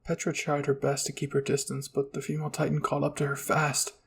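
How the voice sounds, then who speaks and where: Husky man narrating a story, creaky. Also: a little high, monotone, a little fast. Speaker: roleplay narrator. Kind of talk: scripted first-person story narration.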